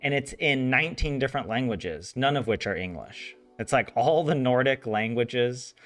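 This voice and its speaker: A man's voice: medium pitch, expressive, normal speed, fairly bright tone, soft background music. A man streaming a language study session, chatting casually, rambling with frequent laughs and restarts. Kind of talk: casual live-stream chat and translation.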